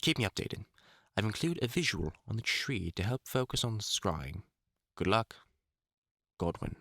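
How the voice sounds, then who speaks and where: A man plays a seasoned vampire hunter in an intimate audio drama, middle-aged, wry and protective. The delivery is lively and varied, with a bright, forceful edge. It is recorded very dry and close, with informal muttered asides. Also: fairly low pitch, normal speed, creaky, no echo, exaggerated voice. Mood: reading aloud, measured. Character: gruff, caring, experienced male vampire hunter, wry and teasing. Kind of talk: intimate audio roleplay acting.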